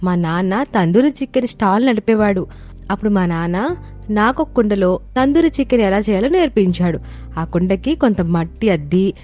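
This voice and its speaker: A woman's voice: mid-range voice, warm tone, lightly acted. A woman voicing a clever, respectful young daughter-in-law in a cartoon family story, polite and earnest. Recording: music bed under voice.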